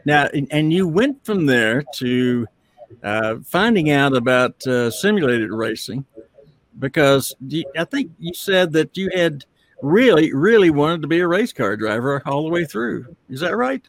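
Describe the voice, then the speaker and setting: A man's voice: a little high, sing-song, unhurried, dry recording. A man hosting a podcast interview, asking long, winding questions in a casual way. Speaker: podcast host.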